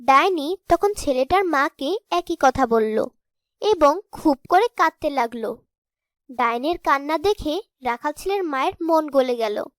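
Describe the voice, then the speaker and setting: A woman's voice: high-pitched, expressive, unhurried, a bit bright, no room sound. A woman storyteller giving scripted fairy-tale narration for a cartoon.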